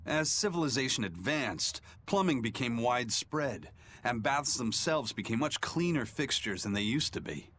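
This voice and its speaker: An actor playing a serious, learned man in a video game, explaining history in a measured, expository way. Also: medium pitch, big pitch swings, fairly bright tone, a little hiss or hum. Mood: earnest, explanatory. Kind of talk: scripted video game dialogue.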